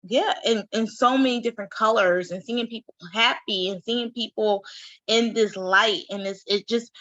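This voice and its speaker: A woman hosting an interview, upbeat and enthusiastic, reacting with excited exclamations. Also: a little high, moderate pace, no echo. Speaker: show host. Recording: dull lo-fi dry sound.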